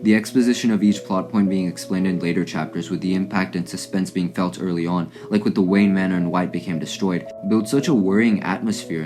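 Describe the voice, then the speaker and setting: Video essayist: male, low voice, scripted analytical recap delivery, music clearly underneath. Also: a little mellow. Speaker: video essayist narrating a fan review.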